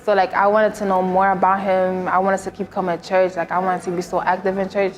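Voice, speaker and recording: A woman's voice: mid-range voice, level tone, fairly quick. Chatty Philly teen girl over music. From Philadelphia. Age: teens. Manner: chatty, frequent "like" fillers. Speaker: student athlete sharing testimony. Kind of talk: casual personal testimony.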